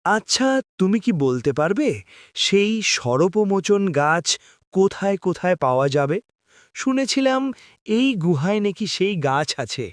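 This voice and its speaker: A man's voice: on the high side, no room sound, studio quality. A man voicing an earnest, selfless seeker in a fairy-tale cartoon, pleading and dramatic. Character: earnest, selfless male seeker of a healing tree.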